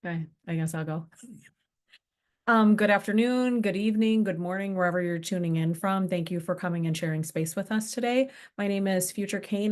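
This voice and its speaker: A woman with a notably low voice introduces herself as a webinar panelist. She speaks in a measured, earnest way over a video call. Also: a little mellow. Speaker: panelist, organization founder.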